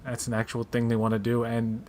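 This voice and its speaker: A man's voice: medium pitch, monotone, brisk, darkish tone, slight background noise. A man chatting casually and enthusiastically, with strong opinions, as a panelist on a pop culture show. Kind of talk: casual chat about movie trailers.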